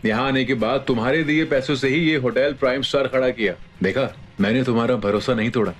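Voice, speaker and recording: A man's voice: mid-range voice, fast, close to an everyday voice. An actor playing a loyal, self-made businessman friend in an audio drama. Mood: grateful. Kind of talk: Hindi audio drama acting.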